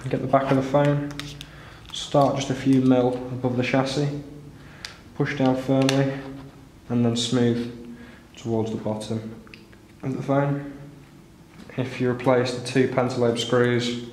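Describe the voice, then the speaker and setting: A man's voice: medium pitch, level tone, measured pace, a little mellow. A man narrating a step-by-step repair tutorial in a plain, instructional way. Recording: roomy echo, clear background noise, clicks.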